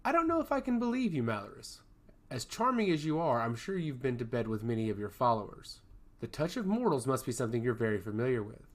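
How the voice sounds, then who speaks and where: A man voicing a warm, skeptical mortal woman in a fantasy story, teasing and inviting in manner. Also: medium pitch, big pitch swings, quick pace, close to an everyday voice. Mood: teasing, doubtful.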